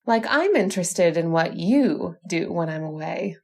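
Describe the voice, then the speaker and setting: A woman's voice: fairly low pitch, lively intonation, unhurried, vocal fry, a little mellow, exaggerated voice. Woman acting imperious, sly witch wife. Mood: sly menace. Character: bossy, jealous witch wife, coolly menacing and teasing. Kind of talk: old-time radio horror drama acting.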